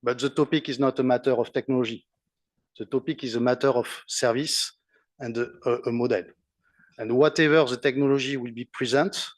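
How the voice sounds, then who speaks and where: A man giving closing remarks on a conference panel, pausing often and restarting phrases. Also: mid-range voice, no echo. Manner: halting, many fillers and restarts.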